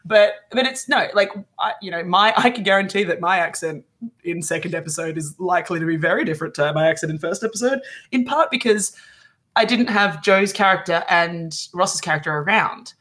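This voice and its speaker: A woman's voice: on the low side, animated, fairly quick, fairly bright tone. A woman with an Australian accent chatting casually in a group, jokey and often interrupting herself. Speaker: podcast panellist and role-play podcast player.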